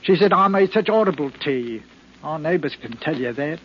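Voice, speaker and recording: Man acting a meek, stammering husband, high-pitched. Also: lively intonation, normal speed, close to an everyday voice. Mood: apologetic. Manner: hesitant, stammering, deferential. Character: meek, flustered English husband hiding guilt. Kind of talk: 1940s radio acting. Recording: muffled, noisy 1940s radio recording.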